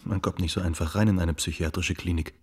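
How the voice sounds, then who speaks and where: An actor playing a sober, methodical investigator with a matter-of-fact manner in a radio drama. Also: male voice, low voice, steady tone, fast, breathy edge. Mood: sober questioning. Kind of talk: German radio drama acting.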